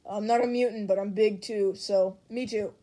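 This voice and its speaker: A woman's voice: medium pitch, fairly even intonation, normal speed, dark tone, natural voice. A woman voicing a big, friendly, helpful teenage hero student in an audiobook. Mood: friendly, offering.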